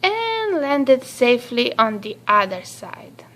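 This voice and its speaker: Lady w a high voice reading a kids story out loud, really slow and expressive. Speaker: storybook reader. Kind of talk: read-aloud children's story narration.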